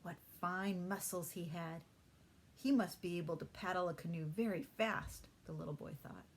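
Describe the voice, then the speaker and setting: A woman teacher narrating a children's storybook aloud in an expressive storytelling manner. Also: fairly low pitch, moderate pace, a little hiss or hum. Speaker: third-grade teacher reading aloud.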